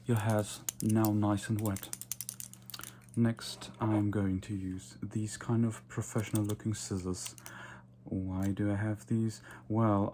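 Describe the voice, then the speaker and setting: Man playing a low, slow, haughty vampire. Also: slight background noise. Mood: casual, smug. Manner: soft, slow, deliberate, with whispered asides. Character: haughty, domineering, playful male vampire lord pampering his captive. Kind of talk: ASMR roleplay.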